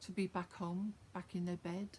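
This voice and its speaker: A woman's voice: on the low side, level tone, moderate pace, warm tone, a little hiss or hum. A woman with a Welsh accent, chatting to her viewers with many fillers before reciting her own rhymed poem. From Wales. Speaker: poet sharing her own work. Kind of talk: casual chat, then a rhymed poem recitation.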